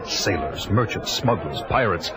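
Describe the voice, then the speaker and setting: A man's voice: deep voice, lively intonation, measured pace, over a noisy crowd, very noisy. An actor playing a grim barbarian warrior, solemnly narrating his adventure as dramatic audio drama. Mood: solemn, exultant.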